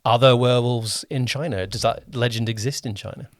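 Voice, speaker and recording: A man talks as the host of a casual interview podcast. His voice sits at an average pitch. He rambles a little, circling back to reword what he asks, and the recording is dry. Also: steady tone, fairly quick, no room sound. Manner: rambling, rephrases questions repeatedly. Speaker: podcast host and series producer.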